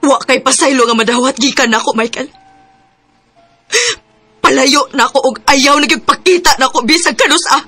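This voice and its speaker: A woman's voice: high-pitched, normal speed, sharp tone, husky, faint music behind, exaggerated voice. An actress playing a bitterly wronged woman who rejects her man in a radio drama. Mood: anguished, angry.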